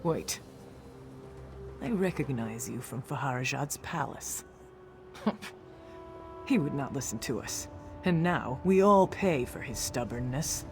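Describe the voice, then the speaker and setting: Proud, resolute desert chief's daughter. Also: female voice, a bass voice, expressive, takes it slowly, pauses often, over music, full character voice. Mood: bitter, indignant. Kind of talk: video game character dialogue.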